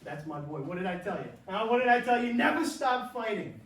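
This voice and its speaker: A man's voice: sits high, sing-song, fairly quick, fairly bright tone. A man playing a proud, scrappy working-class father, blunt and colloquial, in a staged play reading. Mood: proud, excited. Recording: roomy stage, distant, faint noise.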